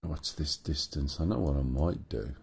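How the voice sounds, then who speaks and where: A man with a hoarse, rumbly voice, chatting casually as a livestream host while he thinks aloud over a map. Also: normal speed, darkish tone. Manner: muses aloud to himself while checking a map. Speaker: streamer. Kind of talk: casual gaming livestream chat.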